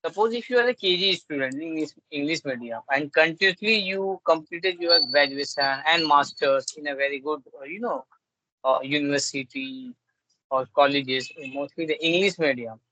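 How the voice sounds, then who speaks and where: Halting man in a practice chat. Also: a little high, sharp tone, dry recording, low-quality recording. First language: Hindi or Bengali. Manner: halting, many fillers and restarts. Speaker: conversation partner. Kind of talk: casual English practice conversation.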